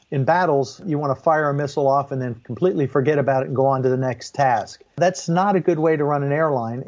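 A man's voice: mid-range voice, a little mellow. Older man explaining on a dull, lo-fi line. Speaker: interview guest, former airline and Air Force pilot. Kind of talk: explanatory interview talk.

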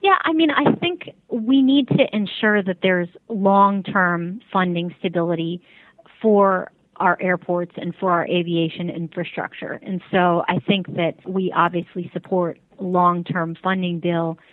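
A woman's voice: fairly low pitch, measured pace, dry recording. A woman giving careful, diplomatic interview answers as a spokesperson, heard over a tinny phone line. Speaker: interview guest, advocacy group spokesperson.

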